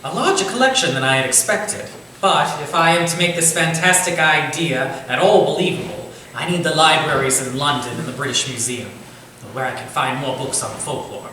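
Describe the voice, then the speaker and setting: A man's voice: fairly high pitch, normal speed, bright, forceful tone. A man playing an earnest, bookish Victorian writer in a staged reading, precise about details and a little hesitant. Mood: earnest, resolved. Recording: very echoey room, distant mic, hissy noise.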